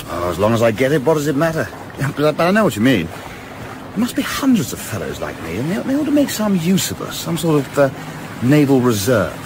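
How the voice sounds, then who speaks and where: Guy playing boyish english gentleman sailor in a radio drama, really animated pitch, hearty n hesitant, noisy ambience behind. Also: a little fast. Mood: earnest. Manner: hearty, hesitant, old-fashioned gentlemanly speech. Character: eager, patriotic young English gentleman yachtsman, boyish and hesitant. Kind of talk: radio play acting. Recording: clearly noisy outdoor effects behind voice.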